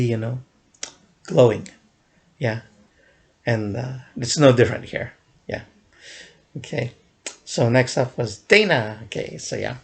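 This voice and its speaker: A man's voice: mid-range voice, gravelly. A young man casually recounting a fan event in a vlog, rambling with many fillers and restarts, often chuckling. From United States.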